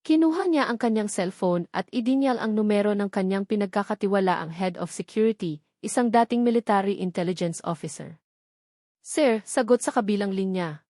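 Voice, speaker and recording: A woman storyteller giving a solemn, deliberate, scripted narration of a dramatic love story. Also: mid-range voice, mellow, dry recording, studio quality.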